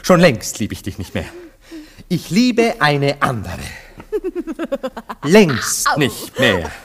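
Casting: wounded, boastful male lover, sarcastic, pitch leaping wildly, theatrical radio drama. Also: wildly swooping pitch, takes it slowly, fairly bright tone, slightly rough, exaggerated voice. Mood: defiant outburst. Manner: agitated, sarcastic, repeating himself. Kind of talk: theatrical German radio play dialogue.

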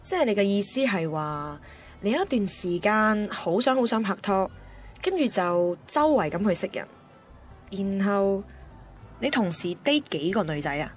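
An actress playing a driven, blunt young radio assistant with a Hong Kong Cantonese accent, in a radio drama. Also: female voice, mid-range voice, sing-song, unhurried, soft background music. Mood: probing, teasing. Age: young adult. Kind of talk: radio drama narration and banter.

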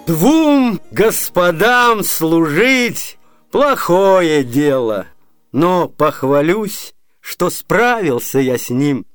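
An actor playing a cheeky, quick-witted servant in a comedy radio play, theatrical and boastful. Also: male voice, sits high, clear, resonant tone. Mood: playful declaiming.

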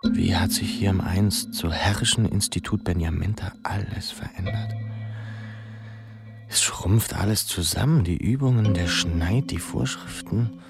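An actor playing a brooding young pupil in a radio drama, musing anxiously in questions, gravelly and often near a whisper. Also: male voice, sits low, unhurried. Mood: bewildered, musing. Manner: musing, questioning inner monologue. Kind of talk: literary radio drama narration. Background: music.

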